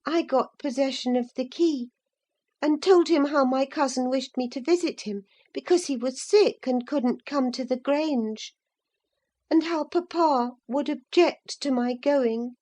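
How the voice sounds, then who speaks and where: A high, breathless teenage girl from a classic storybook, as a woman narrator puts her on. She pleads and confesses in an earnest rush, her voice lifted well above the reader's own. The delivery stays slow and careful. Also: dark tone. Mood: confessing.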